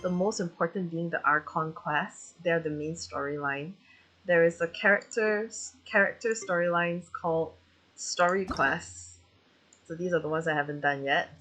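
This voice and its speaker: A woman's voice: lowish voice, bright, forceful tone. A woman from Malaysia chatting casually with viewers as a live streamer, in an informal, giggly, self-deprecating way. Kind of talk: casual livestream chat with viewers.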